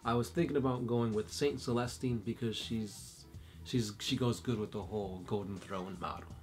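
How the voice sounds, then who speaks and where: A man's voice: on the low side, moderate pace, faint music behind. A man co-hosting a casual chat and reading viewer questions, easygoing and thinking aloud with uhs.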